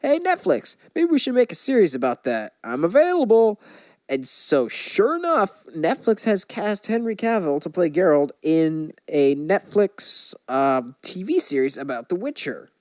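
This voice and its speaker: A man's voice: sits high, big pitch swings, unhurried, darkish tone, dry recording. A man talking casually about movie news as a podcast host.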